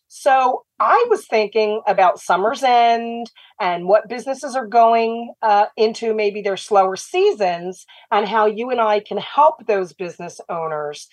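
Slightly raspy woman, radio host, upbeat and talkative, dry close studio sound. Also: a little high, no echo. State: slightly raspy after laryngitis. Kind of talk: radio talk show hosting.

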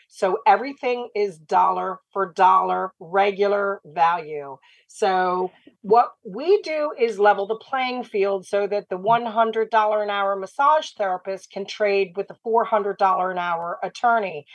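Slightly raspy woman hosting radio. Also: mid-range voice, measured pace, no room sound, poor audio. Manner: upbeat, steady and talkative. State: slightly raspy after laryngitis. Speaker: radio show host. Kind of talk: radio talk show hosting.

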